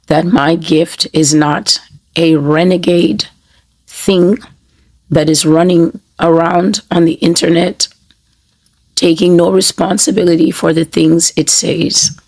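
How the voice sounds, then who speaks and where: A woman minister with a hoarse voice she says she has lost, speaking a sermon to camera in slow, measured, repetitive phrases. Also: lowish voice, a little mellow, no echo, low-quality recording. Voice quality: raspy, breathy and gravelly. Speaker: prophetic minister. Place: New York City.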